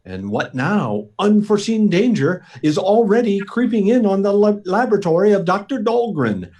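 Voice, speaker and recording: Man as bright, melodramatic radio serial announcer. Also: high-pitched, sing-song, moderate pace. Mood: ominous, dramatic. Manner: melodramatic, suspenseful. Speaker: announcer, narrator. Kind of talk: old radio serial narration.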